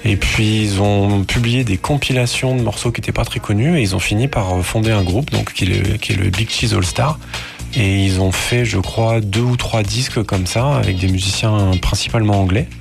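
A man talking casually as a radio guest, speaking hesitantly with frequent pauses. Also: lowish voice, creaky. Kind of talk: casual spoken interview. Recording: music playing under the voice.

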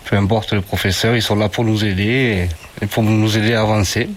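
A man's voice: lowish voice, steady tone, brisk. A middle-aged man, a parent, answering children's questions in simple, friendly words. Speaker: interviewee, parent. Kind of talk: casual interview answers.

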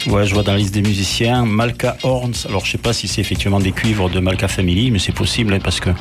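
A man's voice: lowish voice, steady tone, fast talker. Male radio host over music. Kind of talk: casual music radio interview. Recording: music playing under the voice.

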